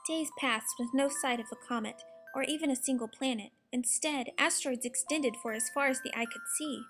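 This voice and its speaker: A woman's voice: sits high, brisk. A woman reading a scripted children's storybook as its narrator. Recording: music behind the narration. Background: music.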